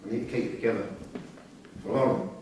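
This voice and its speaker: A man's voice: medium pitch, steady tone, fast talker, a little mellow, natural voice. Actor as volatile male prisoner, echoey and far off. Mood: guarded, tense. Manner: blunt, sweary, clipped street talk. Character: volatile, suspicious male prisoner, an armed robber. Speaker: actor in a staged reading. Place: theatre room at a live staged reading. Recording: echoey hall, far mic, faint noise.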